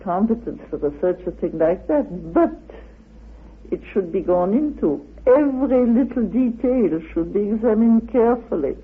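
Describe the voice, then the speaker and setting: A speaker explaining things as an interviewed researcher, in a deliberate and halting way. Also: mid-range voice, animated, slightly rough. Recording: narrow phone-like, lo-fi radio recording.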